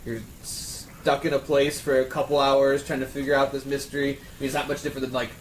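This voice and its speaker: A man in a casual round table chat, talking in a rambling, excitable fan's way. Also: medium pitch, fairly quick, a little hiss or hum, low-quality recording. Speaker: podcast panelist.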